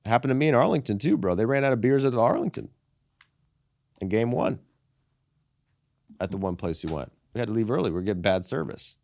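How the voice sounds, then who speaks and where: Lively, profane podcast host. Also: male voice, lowish voice, a little fast, long pauses, dry recording, clean studio sound. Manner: animated, profane, says "brother" and "dude". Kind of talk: casual sports chat.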